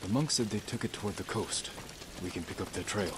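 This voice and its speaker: A man's voice: fairly low pitch, a little fast, heavy background noise, lightly acted. A man voicing a stoic, compassionate samurai lord of medieval Japan in a video game, terse, restrained and grave. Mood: resolute. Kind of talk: dramatic video game voice acting. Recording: music and rain behind the voice.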